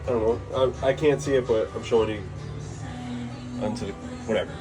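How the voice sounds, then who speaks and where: A man's voice: medium pitch, fairly even intonation, fairly quick, over music. A man chatting casually and offhand to viewers while he works on a vehicle, hesitant and thinking aloud. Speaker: amateur car tinkerer filming a build vlog. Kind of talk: casual unscripted DIY vlog chat. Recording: clear background car and ventilation noise.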